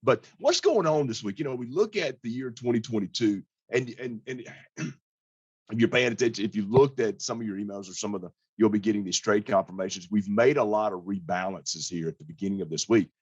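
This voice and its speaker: A hoarse man with a lowish, husky voice talks through a casual client update as a financial advisor. He explains things in a plain-spoken, conversational way. The sound is dry and close, on a slightly dull, lo-fi recording. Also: brisk, no echo.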